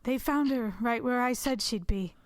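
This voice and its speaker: A woman's voice: fairly high pitch, moderate pace, lightly acted. An actress playing a haunted, defiant woman who is locked up, in an audio drama. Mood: haunted, matter-of-fact.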